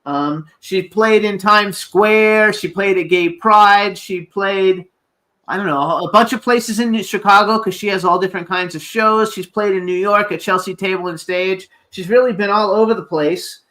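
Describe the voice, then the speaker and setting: A man's voice: sits high, moderate pace, sharp tone. A man of about sixty co-hosting a celebrity interview show, chatty and promotional as he reels off a guest's credits and plugs. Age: 60s. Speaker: co-host.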